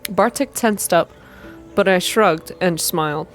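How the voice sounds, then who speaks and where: A woman with a fairly low voice narrates a story in an ASMR style. She reads deliberately and expressively, drawing out phrases in first person. Quiet music plays behind her. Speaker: reader of a visual novel. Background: background music.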